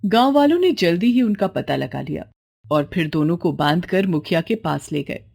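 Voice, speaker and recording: A woman's voice: fairly low pitch, animated, moderate pace, creaky, darkish tone. A woman narrating a scripted story as its storyteller.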